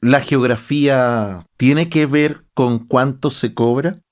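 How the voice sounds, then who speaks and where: A man's voice: medium pitch, takes it slowly. A Chilean-accented man hosting a podcast, asking brief probing questions. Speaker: podcast host. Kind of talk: interview questions.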